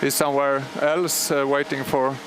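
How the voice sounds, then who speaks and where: Brief: Swedish male technician, mid pitch, measured commentary with lots of "uh", machinery noise clearly behind. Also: little pitch movement. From Sweden. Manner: measured, explanatory, frequent pauses and fillers. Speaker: superintendent of a historic radio transmitter start-up. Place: large machine hall at a radio station. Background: humming machinery and alarm bells.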